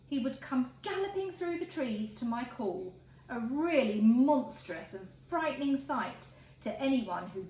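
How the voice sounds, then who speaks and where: Slow, lively woman reading a children's story. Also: on the high side. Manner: expressive, emphatic phrasing for young listeners. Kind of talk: animated picture-book read-aloud. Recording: roomy echo, faint background noise.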